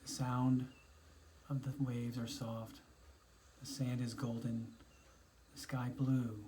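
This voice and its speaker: A man narrating a scripted guided meditation in a soothing, instructional way, pausing between phrases. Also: mid-range voice, dark tone, low-quality recording.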